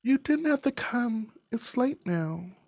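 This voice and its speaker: A woman's voice: on the high side, animated, slow, a little mellow, dry recording, clean studio sound, pitched above the performer's own voice, heavily acted. A woman voicing a polite, worried and dutiful daughter in a visual novel. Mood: surprised, polite.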